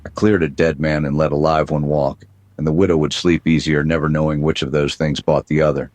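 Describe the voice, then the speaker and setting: A man narrating as a world-weary private detective, deadpan with dry wisecracks, in a 1930s-style noir radio drama. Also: a bass voice, a little fast, no room sound.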